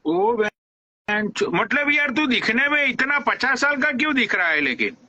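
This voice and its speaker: Guy hosting a live stream, high sharp voice, teasing and swearing a lot, muffled lo-fi audio. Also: sing-song, measured pace. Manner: teasing, mock-respectful, swears freely. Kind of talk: crude joking banter on a live stream.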